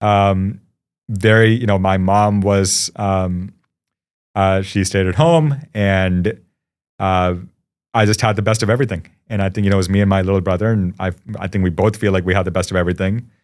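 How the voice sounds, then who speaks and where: A man in his thirties, originally from India, talking as a startup founder on an interview podcast, reflective and often pausing with "you know". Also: low-pitched, steady tone, a little mellow. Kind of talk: casual interview conversation.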